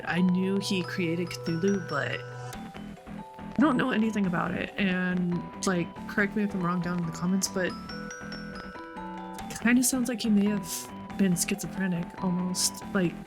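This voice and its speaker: A woman hosting a gaming channel, giving let's play commentary and reading game text aloud. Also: lowish voice. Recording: loud music behind. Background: loud music.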